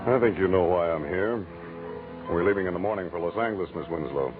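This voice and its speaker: Casting: male actor for firm, level-voiced 1940s radio detective, deep, skeptical, no-nonsense, music behind. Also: fast, narrow, tinny audio, close to an everyday voice. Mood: firm, accusing. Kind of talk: 1940s hard-boiled radio acting.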